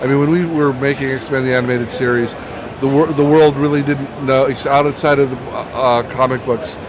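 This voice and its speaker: A man's voice: mid-range voice, fairly even intonation, moderate pace, a crowd in the background. A middle-aged or older man answering as an interview guest, telling casual anecdotes and often restarting his phrases. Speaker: interview guest, TV writer and producer. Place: busy comic convention. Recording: noisy outdoor-sounding convention recording with traffic-like din.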